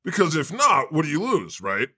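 A man hosting a comedy podcast in the persona of God, joking in a deadpan, self-mocking way. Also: on the high side, normal speed, husky, dry recording, studio quality. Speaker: podcast host.

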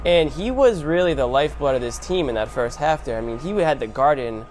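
A man's voice: mid-range voice, expressive, a little fast, a little mellow, low-quality recording. Emphatic male sports host, some background noise. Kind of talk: conversational basketball game analysis.

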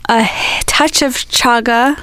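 An earnest young woman co-hosting a podcast, chatting in a slightly halting way. Her voice is smooth and sits a bit high. The sound is dry and close. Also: steady tone, bright, forceful tone, no echo, rough recording. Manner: earnest, a little halting, laughs often. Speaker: podcast co-host. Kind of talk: casual chat.

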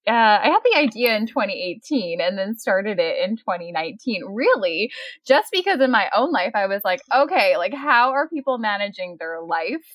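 A woman who founded a business, telling her story as a podcast guest, lively and full of fillers. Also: medium pitch, normal speed, dry recording, highs cut off. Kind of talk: casual chat.

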